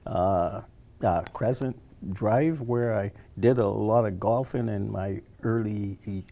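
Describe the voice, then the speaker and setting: Elderly male interviewee from Barbados. Creaky, mellow voice, halting delivery with repeated words, conversational reminiscing. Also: fairly low pitch. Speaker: interview guest, retired social worker.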